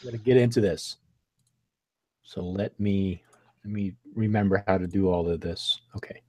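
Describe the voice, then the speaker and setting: A man's voice: sits low, moderate pace, mellow. Wry, easygoing male co-host. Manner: casual and wry. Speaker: podcast co-host. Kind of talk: casual livestream chat.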